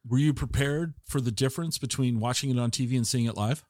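A man's voice: mid-range voice, quick pace, a little mellow, no room sound, clean studio sound. A man with an American accent hosting a casual interview, rambling and interrupting himself as he goes. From Tacoma, Washington, United States. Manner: rambling, self-interrupting questions and anecdotes. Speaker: interview show host.

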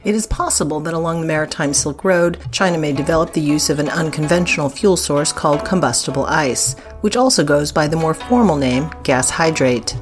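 Low-pitched woman reading news over music. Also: a little fast, hardly any pauses. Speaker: podcast co-host.

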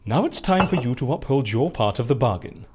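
A man playing an eccentric, excitable schemer in an audio drama, with a husky voice and gleeful, theatrical manner. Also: mid-range voice. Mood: eager. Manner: brisk questioning, gleeful, theatrical. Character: eccentric, excitable schemer who stages fake deaths.

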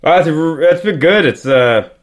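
A man's voice: a little high, lively intonation, measured pace. A young man from Canada co-hosting a casual podcast, rambling through a story with restarts and laughs. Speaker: podcast co-host. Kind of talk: casual chat. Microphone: podcast microphone.

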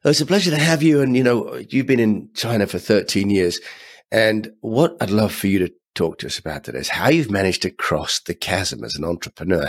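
A man's voice: low-pitched, husky. A man hosting an interview podcast, friendly and conversational, with fillers like "you know". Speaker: podcast host.

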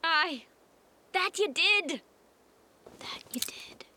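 Very shrill, theatrical young prankster girl. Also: animated, a little slow, bright, forceful tone, slight background noise, full character voice. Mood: mock-heroic. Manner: theatrical, mock-heroic. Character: melodramatic, indignant young female prankster with old-fashioned heroic airs. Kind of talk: audio drama acting.

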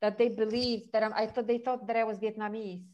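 A woman from Poland with a Polish accent, a podcast guest telling lively stories and laughing often. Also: a little high, a little fast, muffled recording. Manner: animated storyteller, laughs often. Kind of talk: casual storytelling chat.

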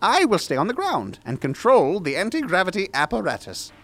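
An actor playing a pompous, oblivious mad scientist in an audio drama, speaking in theatrical, drawn-out phrases. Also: male voice, high voice, huge pitch swings, a bit bright, full character voice. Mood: grandiose. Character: grandiose, oblivious mad scientist, male, giddy with his schemes.

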